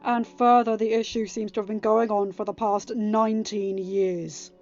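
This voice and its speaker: A woman's voice: medium pitch, little pitch movement, darkish tone, dull audio, faint music behind, natural voice. A woman voicing an earnest young king who speaks formally and diplomatically in a storybook reading. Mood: grave, formal. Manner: formal, measured.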